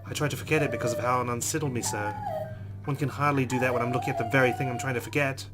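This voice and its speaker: A man's voice: mid-range voice, steady tone, racing. A man hosting a let's play, reading a visual novel's text aloud dramatically and adding his own commentary.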